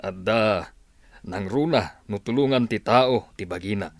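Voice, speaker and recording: A man's voice: fairly low pitch, a little slow, dry recording, close to an everyday voice. A man acting an earnest, friendly counselor who gives advice in an audio drama. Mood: friendly, assured.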